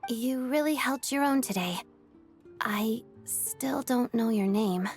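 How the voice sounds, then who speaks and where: A woman's voice: a little high, slow, a little mellow. Composed young soldier woman from a game, formal and clipped, music behind her. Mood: calm. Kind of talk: video game voice acting.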